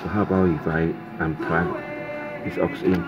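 A man presenting an unscripted hands-on electronics demonstration, halting and repeating words, in non-native, broken phrasing. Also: low voice, little pitch movement, dull audio. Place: indoors at a desk with audio gear. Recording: music playing behind the voice. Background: music from the demonstrated audio player.

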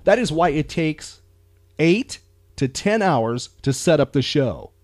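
An American man hosts a podcast with a very animated, emphatic delivery. His talk is casual and folksy, rambling along, recorded close and dry. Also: fairly high pitch, takes it slowly, no room sound. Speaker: podcast host.

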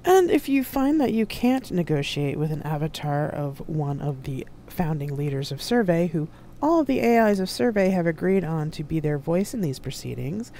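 This is a serene woman avatar who commands the room in a science fiction audiobook, as a narrator puts her on. The tone is dark and mellow with a creaky edge, and she speaks like a revered negotiator. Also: medium pitch, a little hiss or hum, close to an everyday voice. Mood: firm, commanding.

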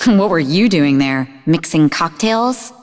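An actress playing a sarcastic, sharp-tongued young woman scientist in a video game, with a mocking, snappy manner. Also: low-pitched, animated, takes it slowly. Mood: scornful. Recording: long reverberant hall echo.

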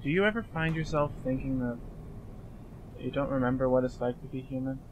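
A man's voice: mid-range voice, highs cut off, slight background noise, close to an everyday voice. A man playing an awkward, apologetic young man who stammers and evades, in fan dub drama dialogue. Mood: uneasy, pleading. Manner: halting, stammering, evasive.

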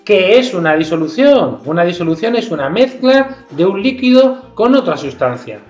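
Middle-aged male teacher, Spain Spanish accent, lively intonation, instructional, roomy distant sound. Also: high voice, normal speed. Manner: instructional, addressing children. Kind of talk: presenting a children's science experiment. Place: home kitchen, indoors. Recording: roomy, distant, dull kitchen audio. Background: background music.

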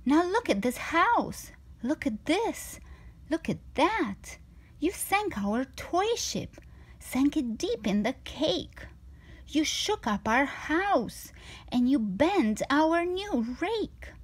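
A woman's voice: sits high, sing-song, takes it slowly, pitched above the performer's own voice, full character voice. A woman voicing a fussy, worried little pet fish in a storybook, indignant and scolding. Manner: indignant, protesting.

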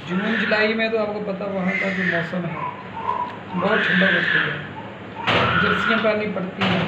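A man casually demonstrating a recipe, explaining it step by step and repeating himself. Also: high voice. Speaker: home cook presenting a recipe. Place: small hard-walled home kitchen. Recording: dull, echoey phone recording, loud sizzling noise.

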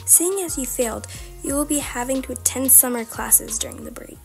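A woman's voice: high voice, normal speed, loud music behind the voice. A woman voicing a formal school principal addressing her class. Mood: stern.

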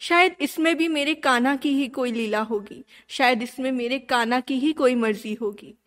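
A woman playing an earnest, gentle young princess steadfast in her devotion, in a radio drama. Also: high voice, moderate pace. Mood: resigned, steadfast. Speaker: actor in a student radio drama. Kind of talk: radio drama acting in Hindi.

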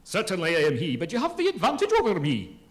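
A man's voice: high-pitched, wildly swooping pitch, fast talker. A man playing a grandiose, self-important poet who declaims in rhyme in a theatrical comic reading. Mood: grateful, flattered. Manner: pompous, declamatory, rhyming.

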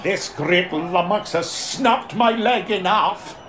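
A man's voice: sits high, slow pace, a crowd in the background. An actor playing a combative, indignant old sportsman in a comic audio drama. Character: feisty grey-haired old male player with wooden leg. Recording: noisy outdoor match ambience.

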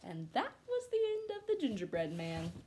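A young woman reading a children's storybook aloud at home in an expressive, playful way. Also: fairly low pitch, brisk, a little hiss or hum. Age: young adult. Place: small living room at home.

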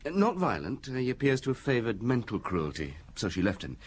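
An actor playing a concise, matter-of-fact police detective reporting in a radio drama. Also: male voice, medium pitch, huge pitch swings, a little fast, husky. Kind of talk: classic radio drama acting. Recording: noisy old radio broadcast.

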